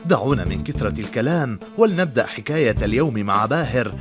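A man giving a lively, inviting storytelling introduction for children. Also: medium pitch, fast talker, over music. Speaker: narrator.

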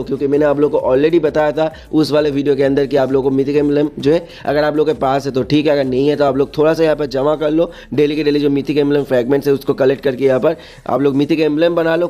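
Male gaming host, slightly high-pitched and very quick, casual explainer delivery with repeated check-in tags. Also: warm tone. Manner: repetitive, uses frequent check-in tags and English loanwords. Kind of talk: casual explainer narration over game screens.

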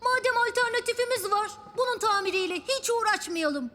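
A woman's voice: very high voice, a little fast, pushed and bright. A woman voicing a cheeky, lazy little boy in a children's cartoon, cocky and playful.

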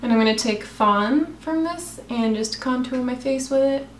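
A young woman, a makeup vlogger, chatting casually through a tutorial in her apartment, her voice a bit raspy, talking while she works. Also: sits high, moderate pace, echoey room, a little hiss or hum. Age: young adult. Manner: chatty, self-deprecating, talks while applying makeup. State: slightly raspy voice. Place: small room in her apartment. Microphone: camera close in front of face.

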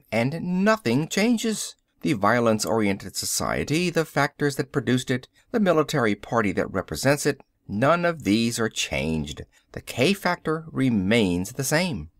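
A man's voice: mid-range voice, very animated, unhurried, few pauses, no room sound, clean studio sound. A man voicing an adult male social scientist who lectures with a confident, explanatory cadence in an audiobook. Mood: lecturing. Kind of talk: audiobook character reading.

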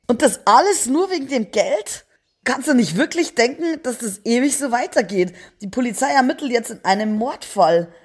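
A woman's voice: fairly high pitch, animated, moderate pace, husky. A woman playing a bitter, indignant sister-in-law making accusations in an audio crime drama. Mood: accusing. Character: outraged, bitter woman confronting her criminal brother-in-law.

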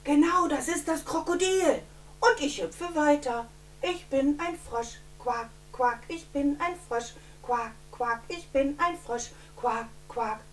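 An older woman voicing a curious, excitable little frog in singsong, exclaiming interactive storytelling for children. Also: high-pitched, slow, slight background noise. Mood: curious, excited. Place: indoors, seated before a painted backdrop. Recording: slightly distant from the mic.

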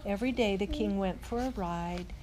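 A woman's voice: medium pitch, moderate pace. An older woman reading a children's storybook aloud as a grandmother, expressively, with exclaimed asides. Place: large indoor lodge room with fireplace. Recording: clearly noisy room, ambient sound.

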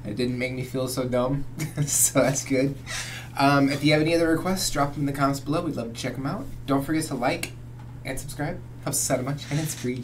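A man in his thirties talks in a casual, conversational way, hosting a reaction video. His pitch is middling for a man. He is recorded in a small home room with some faint noise behind him. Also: fairly quick. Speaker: host of a reaction channel. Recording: clearly noisy home recording.

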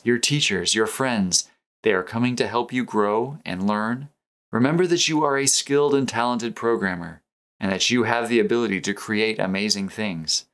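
A man narrating a guided meditation in a slow, soothing, measured and earnest way. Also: on the low side, a bit bright. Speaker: meditation guide, programming teacher.

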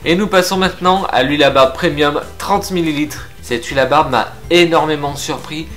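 A young man from France reviewing beard grooming products to camera in a frank, lively, casual way. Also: a little high, soft background music. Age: young adult. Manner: lively, frank, chatty with filler pauses. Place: indoor room with shelves of figurines.

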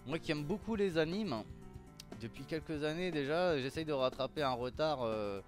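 Middle-aged male game presenter, chatty and repetitive for emphasis, slightly high register, indoor headset recording with backing music. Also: animated, normal speed. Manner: conversational, repeats words and phrases for emphasis. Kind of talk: casual game showcase commentary.

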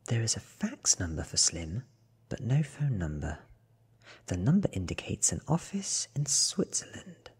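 A man in his thirties giving a soft-spoken, hushed ASMR audiobook reading, breathy and often close to a whisper, slow and deliberate, close to the microphone. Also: fairly low pitch, huge pitch swings. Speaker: reader.

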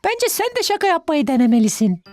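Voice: sits high, very animated, fast talker, darkish tone, faint music behind. A performer voicing a friendly, mischievous child playmate in a children's cartoon dub, with a playful childlike delivery. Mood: friendly, explaining.

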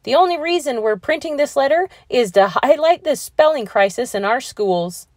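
Exasperated storybook editor, scornful, emphatic scolding, animated pitch, dry sound played by a woman. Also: normal speed, dry recording.

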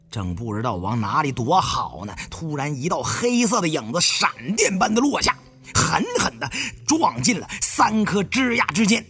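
A man narrating a story as an audiobook, with expressive, theatrical phrasing. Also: a little high, breathy edge. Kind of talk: dramatic first-person audiobook storytelling.

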